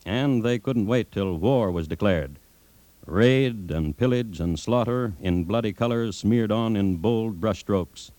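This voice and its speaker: An actor playing a dry, macabre true-crime host, narrating deliberately with wry pauses in an American accent on old-time radio. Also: male voice, lowish voice, warm tone, no room sound, natural voice, poor audio. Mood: wry, grave. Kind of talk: 1950s radio scripted narration.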